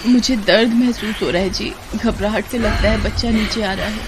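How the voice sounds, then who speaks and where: A woman's voice: medium pitch, steady tone, moderate pace, noisy background, lightly acted. A woman voicing a poor, anxious pregnant village wife in pain, pleading in a moral-story cartoon. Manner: pleading, worried.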